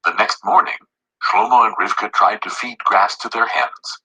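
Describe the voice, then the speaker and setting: A man narrating a children's story in an animated, scripted read-aloud style. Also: on the low side, normal speed, husky, low-quality recording.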